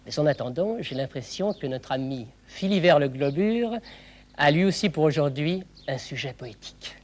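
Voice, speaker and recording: A man presenting a children's show in a didactic, explanatory way, phrasing clearly and putting questions to viewers. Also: fairly high pitch, expressive, normal speed, a little hiss or hum.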